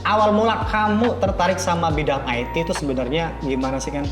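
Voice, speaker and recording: Higher-voiced young man hosting in a roomy space. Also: fast. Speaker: podcast host. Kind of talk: casual interview chat. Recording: roomy sound with music underneath. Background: background music.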